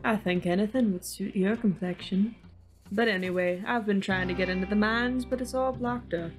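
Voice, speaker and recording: A woman's voice: medium pitch, moderate pace, music in the background, voice pushed up, close to an everyday voice, low-quality recording. Woman voicing a wary, matter-of-fact sloth. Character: suspicious, matter-of-fact, gold-loving sloth townsperson. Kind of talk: video game character read-aloud.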